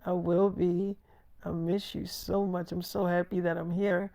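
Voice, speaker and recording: A man's voice: high-pitched, normal speed, darkish tone, no echo. A man voicing an affectionate young wife and new mother in a storytime, talking in a casual, intimate, teasing way. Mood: soft, affectionate.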